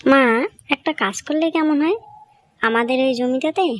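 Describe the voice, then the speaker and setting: A woman's voice: high-pitched, moderate pace, lightly acted. A woman voicing a caring young village daughter in a cartoon story, earnest and coaxing. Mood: hopeful. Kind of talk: Bengali cartoon story voice acting.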